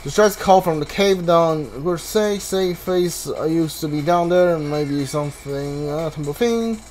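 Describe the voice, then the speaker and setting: A man giving casual video game commentary, thinking aloud haltingly and repeating his words as he plays. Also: a little high, a little mellow, a little hiss or hum. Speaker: gamer, playthrough host. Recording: game music behind the voice. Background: video game music.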